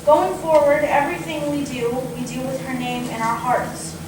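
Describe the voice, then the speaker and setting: A woman's voice: sits high, moderate pace. A young woman reading a prepared, heartfelt eulogy to a gathering, earnest with touches of dry humour. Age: young adult. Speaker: niece giving a memorial tribute. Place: indoor memorial gathering at an acting studio. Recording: very echoey, distant, noisy room recording.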